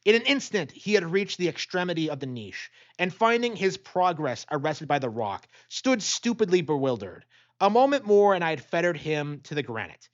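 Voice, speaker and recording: A man with a slightly high voice narrates a gothic audiobook. His delivery is dramatic and very varied in pitch. The recording sounds a bit dull. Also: sing-song, normal speed.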